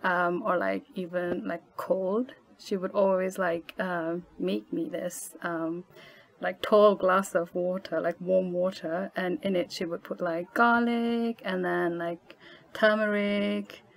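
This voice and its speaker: A woman's voice: fairly low pitch, steady tone, takes it slowly, vocal fry. A woman in her twenties from Nepal, talking casually as a podcast guest with many fillers and frequent laughs. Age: 20s. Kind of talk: casual interview chat.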